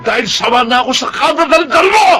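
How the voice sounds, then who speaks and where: Brash, high-pitched hot-tempered husband. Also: male voice, lively intonation, quick pace, soft background music, full character voice. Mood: defiant, furious. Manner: brash, shouting. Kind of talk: Filipino radio drama acting.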